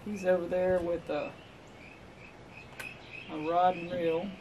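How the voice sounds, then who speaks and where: A middle-aged woman with a Southern US accent, chatting excitedly while fishing from a pond dock, recorded outdoors on a camera. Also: on the low side, slow pace, background noise. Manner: chatty, excited running commentary. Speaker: homestead channel host. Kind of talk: casual chat while fishing.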